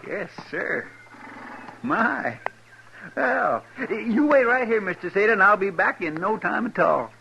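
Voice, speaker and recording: An eager frontier sidekick with a rural American drawl and a fairly high, gravelly voice. He is folksy and deferential, in old radio western style, on a dull recording thick with noise. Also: lively intonation, a little slow, heavily acted. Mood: eager, puzzled. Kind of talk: 1950s radio western acting.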